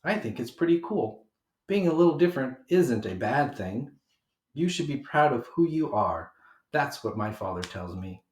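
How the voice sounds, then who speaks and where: A kind, confident little schoolgirl from a storybook, read by a man, speaks earnestly and plainly. Each point comes with clear emphasis. The homemade recording sounds echoey. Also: mid-range voice, animated, moderate pace, natural voice. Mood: friendly, reassuring.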